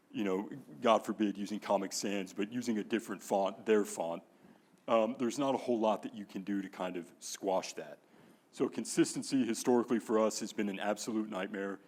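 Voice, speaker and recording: A man, giving a relaxed, conversational talk to a conference audience over a PA system. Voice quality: very deep voice. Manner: relaxed, conversational, with filler words. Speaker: conference speaker, brand manager.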